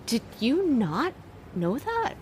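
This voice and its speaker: A woman's voice: sits high, wildly swooping pitch, slow pace, darkish tone, slight background noise, full character voice. An actress playing a chirpy, geeky young woman in an audio drama. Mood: playful.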